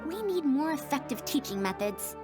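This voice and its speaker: A woman's voice: sits high, very animated, normal speed, over music. A woman voicing a confident, headstrong female scholar in a video game, with formal, old-fashioned phrasing. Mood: encouraging. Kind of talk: voiced game character dialogue.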